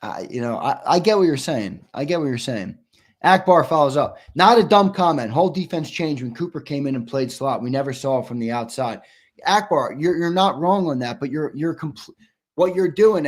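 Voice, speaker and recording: A man hosting a live sports talk stream, reading viewer comments and arguing back, emphatic and repetitive, his voice going hoarse. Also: on the high side. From United States. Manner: argumentative, emphatic, repeats himself for stress.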